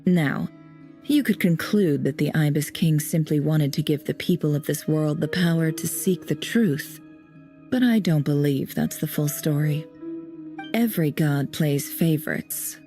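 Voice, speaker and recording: An actress voicing a cool, cerebral woman investigator in a video game, composed, deliberate and formal as she tells things. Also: low-pitched, warm tone, soft background music. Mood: grave, measured. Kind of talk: scripted game voice acting, measured storytelling.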